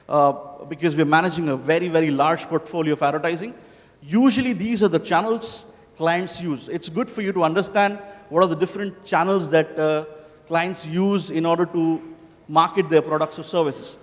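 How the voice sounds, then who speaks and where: Man from India, slightly high voice, giving a loose, joking conference talk with lots of "uh"s; hall echo. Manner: casual, joking, many filler "uh"s. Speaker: conference speaker. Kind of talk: conference presentation. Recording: hall reverb.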